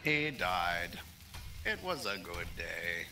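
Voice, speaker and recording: A man voicing a gloating alien tyrant, speaking with contemptuous, menacing deadpan. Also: low-pitched, slow, noisy background, exaggerated voice.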